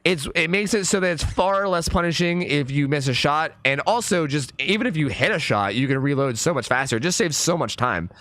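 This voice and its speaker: A young man with a somewhat high voice, hoarse because he has lost part of it, talks in a chatty, filler-heavy run about a game. The recording is dry and close, with no room sound around him. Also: expressive, brisk, few pauses, a bit bright, no echo. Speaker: gaming YouTuber and streamer. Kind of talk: casual gameplay commentary.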